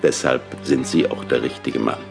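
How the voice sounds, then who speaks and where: An actor playing a stern, curt older police chief in a German audio drama, clipped and authoritative. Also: male voice, a bass voice, normal speed, vocal fry, music in the background. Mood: stern, impatient.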